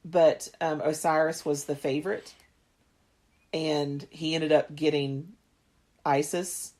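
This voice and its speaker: A woman's voice: deep voice, steady tone, slow pace, darkish tone. A woman in her thirties chatting casually as a podcast co-host, rambling and restarting often. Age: 30s. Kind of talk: casual chat.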